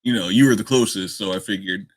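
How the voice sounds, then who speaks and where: A man's voice: on the low side, quick pace. A man bantering casually in character as a player in a tabletop role-playing game.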